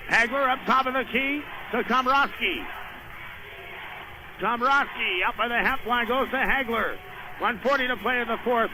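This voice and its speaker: A man's voice: extremely high pitch, noisy background. A man doing live radio play-by-play of a basketball game in short, running descriptions of the action. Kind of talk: live radio sports commentary. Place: indoor high school basketball game.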